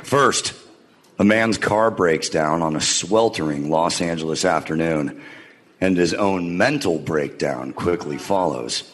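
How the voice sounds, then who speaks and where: A man's voice: deep voice, unhurried. A man from California delivering a bombastic, scripted comic game-show introduction in a theatrical manner. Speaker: game segment announcer.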